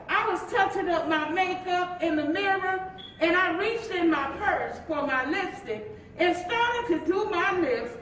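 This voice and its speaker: A woman acting a sassy, chatty married friend from the 1950s in a staged play reading, telling things in an animated, gossipy way with folksy endearments. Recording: echoey hall from far off, faint rumble.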